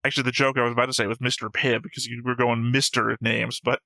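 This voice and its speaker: A man's voice: medium pitch, little pitch movement, fast, creaky, bright, forceful tone, no room sound. A man hosting a reaction show in casual banter, reading out comments and arguing his points with wisecracks.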